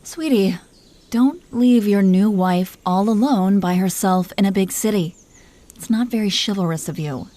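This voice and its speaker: A woman's voice: mid-range voice, unhurried, mellow, lightly acted. A woman playing an imperious, possessive dragon queen who teases her husband with condescending affection in an audio roleplay. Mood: playful reproach. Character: haughty, teasing, possessive dragon queen and doting wife. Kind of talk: scripted roleplay monologue spoken to the listener.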